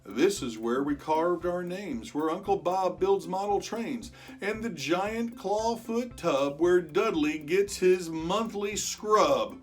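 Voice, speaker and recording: A storyteller reading a rhyming picture book aloud to children in an expressive way, stretching words for emphasis and chatting with young listeners. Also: medium pitch, takes it slowly, faint music behind. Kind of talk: animated children's read-aloud of rhyming verse.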